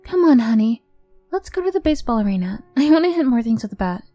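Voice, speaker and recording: A woman's voice: on the high side, sing-song, quick pace, mellow, dry recording, faint music behind. A woman playing an affectionate young girlfriend, a sweet but tough-edged former bully, in an ASMR roleplay. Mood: cheerful, eager.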